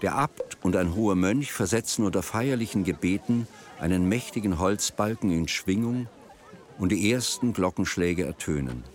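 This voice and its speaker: A man reading a scripted, descriptive radio narration in a measured storytelling manner. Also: sits low, lively intonation. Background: music.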